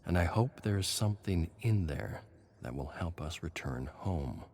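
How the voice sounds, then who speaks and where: A man plays a droll survivor and would-be filmmaker with a very low voice, reading his game journal drily. The delivery is measured, with faint ambient noise behind it. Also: expressive, darkish tone. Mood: uneasy, hopeful.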